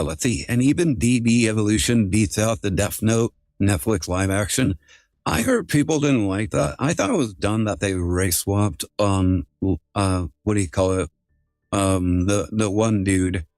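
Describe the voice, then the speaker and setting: Male livestream host, lower voice, informal and sweary, circles back over his phrases, very dry recording. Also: a little mellow, no echo. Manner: profane, informal, repeats phrases. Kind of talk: casual livestream chat.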